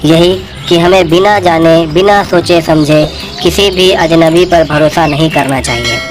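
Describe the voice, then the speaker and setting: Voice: mid-range voice, unhurried. A narrator reading a scripted children's moral story. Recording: music and birdsong behind the voice.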